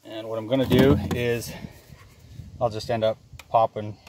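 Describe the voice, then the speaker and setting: A man's voice: fairly low pitch, little pitch movement, normal speed. A man hosting a hands-on repair vlog, chatting casually and folksily to viewers, with wry jokes and muttered asides. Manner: folksy, wryly joking, muttering asides to himself. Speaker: host of a do-it-yourself repair vlog. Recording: loud clattering and clicking work noise.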